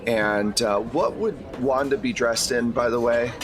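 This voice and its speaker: A man's voice: medium pitch, unhurried. A man acting as game master, narrating a tabletop role-playing game in an informal, conversational way.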